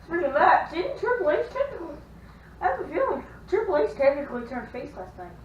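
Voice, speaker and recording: A woman's voice: high-pitched, sing-song, fast. A young woman chatting casually as she hosts a home vlog. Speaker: vlog host. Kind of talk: casual chat. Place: echoey room at home. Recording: echoey room, distant muffled mic, faint noise.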